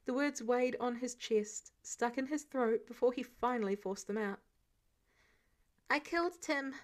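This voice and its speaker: A woman's voice: a little high, moderate pace, a little mellow. A woman reading scripted fiction aloud as a narrator. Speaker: podfic narrator.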